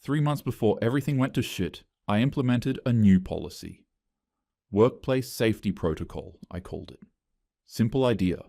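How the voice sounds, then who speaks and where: A man's voice: on the low side, moderate pace, vocal fry, mellow, no room sound, studio quality. A man narrating a first-person story in a scripted, matter-of-fact way.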